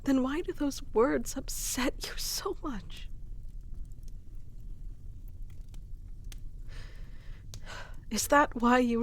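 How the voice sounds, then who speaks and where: A woman's voice: a little high, slight background noise. A woman voicing a devoted, lovestruck fantasy noblewoman in an ASMR romance roleplay, earnest and dramatic with formal storybook phrasing. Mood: tender, hurt.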